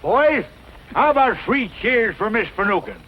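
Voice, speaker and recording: An actor playing a cranky but soft-hearted old cowboy sidekick in a vintage western film, with a folksy rural Western accent. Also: male voice, sits high, very animated, moderate pace. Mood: pleased, folksy. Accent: rural American West. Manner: folksy, blustering. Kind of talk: 1940s western film acting. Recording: dull vintage film soundtrack, faint noise.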